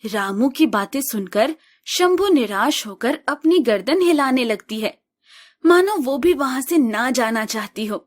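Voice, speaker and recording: Woman narrating a village story, slow and even, a bit high.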